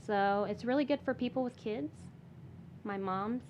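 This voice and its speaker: A woman, a guest on a podcast, talking casually and softly with many fillers and restarts. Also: fairly high pitch, measured pace, lo-fi sound, a little hiss or hum. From Michigan. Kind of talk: casual interview talk.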